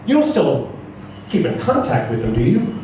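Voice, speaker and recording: A man's voice: mid-range voice, wildly swooping pitch, moderate pace, dark tone, muffled recording. A man voicing a stern, nagging mother of a grown son in a story reading. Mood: stern, suspicious. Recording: roomy, echoing, distant mic, faint noise.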